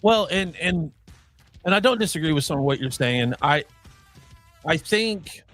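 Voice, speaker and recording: A man's voice: on the high side, lively intonation, measured pace, fairly bright tone, soft background music. A man from the Midwest with a mix of Midwestern and Oklahoma accent, co-hosting a casual sports chat and rambling with many restarts. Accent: mixed Midwestern and Oklahoma. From Midwestern United States. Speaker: co-host.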